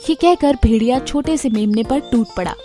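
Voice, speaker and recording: A woman storyteller reading scripted children's moral tales in an expressive way. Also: fairly high pitch, normal speed, soft background music.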